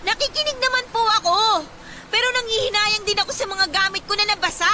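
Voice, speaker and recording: A very high, plaintive teenage girl in a radio drama. She sounds anxious and protesting, with clear storm-like noise around her. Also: brisk, sharp tone, heavily acted. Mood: distressed, protesting. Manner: plaintive, whining complaints.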